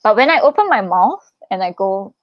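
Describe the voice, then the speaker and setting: A young woman hosts a casual livestream, reading questions and answering them in a chatty, expressive way. Her voice sits at a middle pitch for a woman, in a dry, close sound with little room echo. She gives advice in a reassuring, informal manner. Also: normal speed, lo-fi sound. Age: young adult. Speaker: livestream host, international student in Korea.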